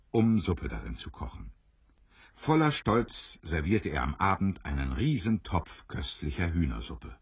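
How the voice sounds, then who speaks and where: A man narrating an audio drama like a storybook, measured and expressive in his scripted German storytelling. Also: very deep voice, husky, dry recording. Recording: dry, slightly dull studio recording.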